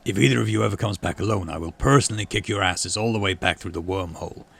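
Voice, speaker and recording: A man's voice: lowish voice, quick pace, gravelly, a bit bright. A man voicing a stern, commanding male security chief from a sci-fi game. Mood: firm threat. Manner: firm, commanding.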